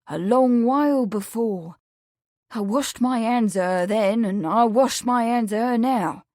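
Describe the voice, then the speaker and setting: A woman's voice: medium pitch, a little slow, gravelly, dark tone, no echo. Young English working man, voiced by a woman: awkward, devoted, emphatic yet muttering, dry close storybook sound. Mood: determined.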